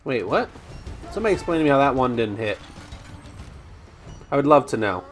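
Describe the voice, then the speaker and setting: A man commentating over his own gameplay, chatty and rambling with ums and restarts, his voice slightly raspy today. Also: mid-range voice, sing-song, brisk, faint music behind. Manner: rambling, frequent ums and restarts, addresses viewers as guys. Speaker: gaming YouTuber.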